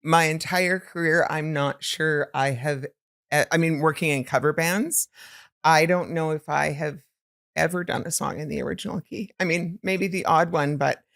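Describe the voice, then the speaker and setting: A woman podcast host with a very low voice, chatting easily and talking in quick bursts. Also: fairly even intonation, creaky, a bit bright. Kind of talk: casual podcast interview.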